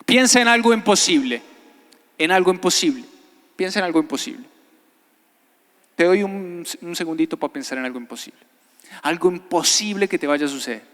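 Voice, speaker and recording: His voice sits high for a man and rings through a long hall reverb. He is a pastor, delivering a sermon in a conversational way. He repeats key phrases and keeps putting short questions to his listeners. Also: expressive, a little fast, long pauses, a bit bright. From Italy. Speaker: pastor, guest preacher. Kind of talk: sermon to a live congregation. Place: large church hall before a congregation. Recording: reverberant hall, amplified preaching.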